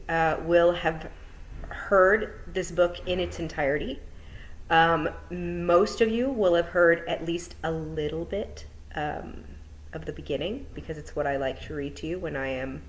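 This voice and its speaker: A woman teacher reads a storybook aloud to her pupils from home, talking to them directly in a chatty, encouraging way. Her voice sits somewhat low, and she moves easily between friendly asides and steady narration. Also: slight background noise, poor audio. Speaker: teacher giving a read-aloud. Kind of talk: friendly classroom talk and storybook reading.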